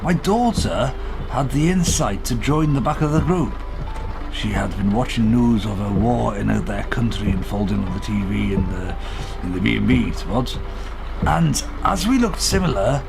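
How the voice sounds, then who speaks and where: A man's voice: on the low side, expressive, moderate pace, creaky, music in the background. A man playing a weary, guarded, protective Welsh father in a radio play, speaking politely and hesitantly in an old-fashioned way. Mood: earnest, hesitant. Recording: noisy vehicle ambience behind voice.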